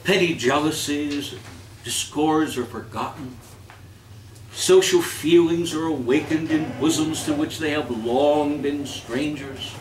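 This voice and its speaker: A man's voice: on the high side, fairly even intonation, takes it slowly. Theatrical man hosting in an echoey room. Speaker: host of a community holiday reading and song quiz. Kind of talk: live stage reading and playful audience banter. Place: indoor community event before an audience. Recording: echoey room, distant mic, noisy audience.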